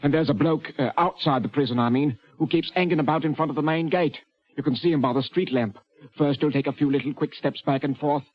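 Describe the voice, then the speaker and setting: An actor playing a veteran prison warder, deferential and plain-spoken, with a British working-class accent, in 1940s radio style. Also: male voice, a little high, a little fast, natural voice. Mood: uneasy, respectful. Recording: dull vintage radio recording.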